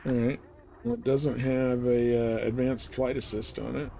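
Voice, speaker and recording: A man's voice: medium pitch, steady tone, moderate pace, gravelly, warm tone. Male game streamer, informal and slangy, casual play-by-play with engine noise behind. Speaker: live streamer playing a space flight game. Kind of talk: casual live gameplay commentary.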